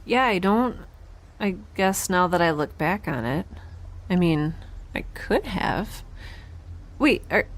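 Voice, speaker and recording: An actress playing a guarded, flustered young woman in a sci-fi audio drama, halting, defensive and rambling in a naturalistic style. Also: lowish voice, animated. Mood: distraught.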